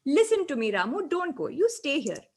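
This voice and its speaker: A woman's voice: high-pitched, big pitch swings, normal speed, darkish tone. A woman voicing a grumpy, protective male tree spirit who scolds and mocks. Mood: warning. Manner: scolding, sulking, sarcastic.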